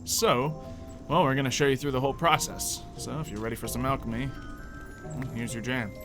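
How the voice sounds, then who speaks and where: A man's voice: medium pitch, fairly even intonation, a little fast, over music. A man giving casual, chatty gameplay commentary as a let's-player, thinking aloud as he goes. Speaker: video game let's-player.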